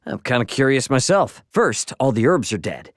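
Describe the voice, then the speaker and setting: A man's voice: medium pitch, sing-song, moderate pace, no room sound, clean studio sound. A man playing a brash, casual young video game adventurer, slangy and wry, impatient but good-hearted. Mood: casual, determined. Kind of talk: video game character voice lines.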